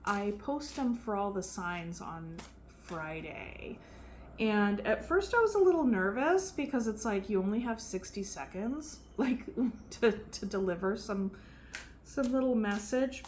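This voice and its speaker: A soft-spoken woman giving a casual tarot reading, chatty and relaxed with frequent fillers. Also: a little high. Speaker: tarot reader. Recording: faint background noise.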